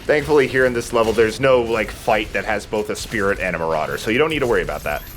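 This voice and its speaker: A man's voice: on the low side, fast talker, slight background noise. A man giving casual, joking commentary while playing a video game. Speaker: let's play host playing the game.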